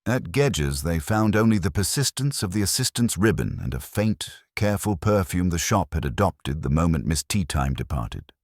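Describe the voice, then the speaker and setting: A man reading scripted mystery fiction as narrator, in a measured, wry, literary manner. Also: very deep voice, dry recording, studio quality.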